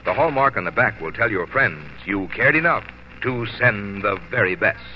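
A man's voice: fairly low pitch, animated, moderate pace. A man reading a scripted commercial as a 1940s radio announcer. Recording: muffled old radio broadcast, faint noise.

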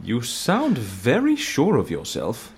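An actor with a British accent playing a jovial, eccentric, inquisitive gentleman schemer in an audio drama, theatrical and playful. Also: medium pitch, huge pitch swings, slow pace, slightly rough, exaggerated voice. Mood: probing, intrigued.

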